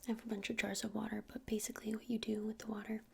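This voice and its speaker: Female, ASMR witch shopkeeper roleplay: close breathy whisper, even and unhurried, slightly hesitant, some fry, faint noise. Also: mid-range voice. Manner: hesitant, unhurried, soft-spoken. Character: gentle witch minding a crystal shop.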